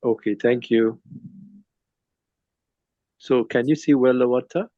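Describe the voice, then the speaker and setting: A man in his fifties from Sri Lanka, presenting in an online group discussion from his phone, often pausing with uh and um. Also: mid-range voice, steady tone, mellow, no echo, lo-fi sound. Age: 50s. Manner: hesitant, many uh and um fillers. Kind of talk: casual presentation over a video call. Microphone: phone on a video call.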